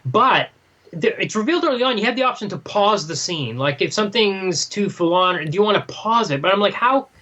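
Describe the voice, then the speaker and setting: A man with a notably high voice co-hosts a casual talk podcast. He works through his thoughts aloud, questioning and restarting as he goes. The sound is a little dull and lo-fi. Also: expressive, brisk, sharp tone. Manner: questioning, thinking aloud in broken phrases. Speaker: podcast co-host. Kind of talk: casual discussion of a graphic novel.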